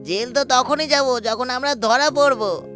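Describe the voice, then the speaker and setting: A man's voice: extremely high pitch, measured pace, sharp tone, soft background music, full character voice. A man voicing a cocky, greedy young thief in a cartoon, pushy and confident. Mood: eager, cocky. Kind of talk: cartoon voice acting.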